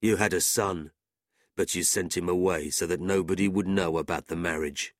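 A man voicing a quiet stranger who reveals a dark past in a storybook reading. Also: sits low, steady tone, moderate pace, dry recording, clean studio sound, natural voice. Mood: grave.

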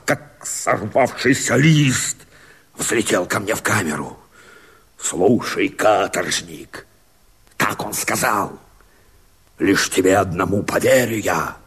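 An actor playing a desperate, bitter escaped convict and rebel, declaiming verse in a hoarse, strained, theatrical way for a radio drama. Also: male voice, mid-range voice, exaggerated voice. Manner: impassioned, theatrical, drawn-out declamation with sudden outcries.

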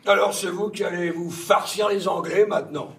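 Brash army interrogator, theatrical, sardonic and bullying, fry in the voice, heard from a distance. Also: male voice, high-pitched, normal speed, a bit bright. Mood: brash, mocking. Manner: blunt, sarcastic, colloquial, swearing. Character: brash, coarse, domineering American army major, interrogator. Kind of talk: theatrical French stage acting. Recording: distant echoey stage pickup.

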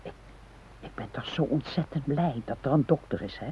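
An actress playing a fretful, nagging middle-aged wife in a naturalistic radio drama, earnest and reproachful, with a husky voice. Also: female voice, rumbling low, animated, normal speed, mellow, a little hiss or hum. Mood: anxious, nagging. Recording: tinny, phone-like radio recording.

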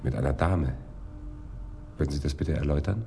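An actor playing a businesslike police investigator in a German radio crime drama, formal and terse. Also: male voice, very deep voice, brisk, vocal fry, warm tone, soft background music. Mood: formal, firm. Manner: formal, terse, matter-of-fact.

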